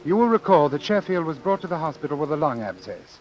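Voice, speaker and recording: An actor playing a coldly calculating, articulate doctor with formal clinical diction in a vintage radio suspense drama. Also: male voice, fairly high pitch, big pitch swings, quick pace, lo-fi sound, faint music behind. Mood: coolly reflective.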